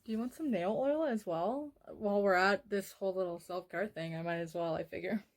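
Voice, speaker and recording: A soft-spoken woman doing an ASMR self-care roleplay, gently guiding the listener in a soothing manner. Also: medium pitch, a little fast. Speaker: ASMR creator, host.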